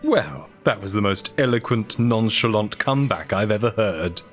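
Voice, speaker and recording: An actor playing a suave, self-assured, teasing man in an audio drama, talking in a wry, drawling, smooth way. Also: fairly low pitch, lively intonation, moderate pace, faint music behind, lightly acted.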